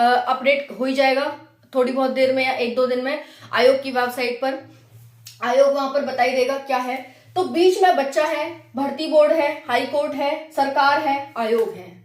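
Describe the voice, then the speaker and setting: A woman's voice: high voice, roomy sound. A woman hosting an informal explainer for viewers, conversational and often checking in with rhetorical questions. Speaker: host of a government exam news channel.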